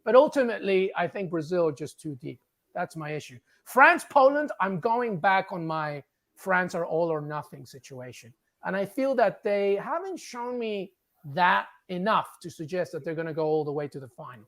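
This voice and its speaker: Sports show panelist with a creaky, gravelly voice, punchy and emphatic in studio discussion. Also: mid-range voice, animated, moderate pace, fairly bright tone. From England.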